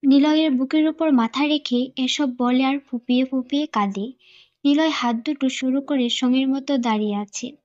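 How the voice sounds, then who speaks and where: A woman narrates a scripted romance in a dramatic storytelling style. Her voice is high, and the recording is a little dull and muffled. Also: steady tone, normal speed, a little mellow.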